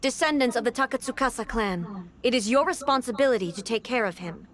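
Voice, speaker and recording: A woman playing a stern, regal ruler, higher-pitched. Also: expressive, music in the background, lightly acted. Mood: stern, commanding. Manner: formal, measured, authoritative. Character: stern commanding female ruler, composed and regal. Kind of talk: video game voice acting.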